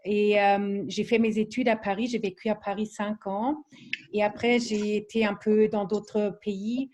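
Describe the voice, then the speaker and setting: German-accented woman, slow and hesitant. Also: mid-range voice, little pitch movement, a little mellow. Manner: hesitant, many fillers and restarts. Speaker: presenter of a training webinar. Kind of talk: online training presentation.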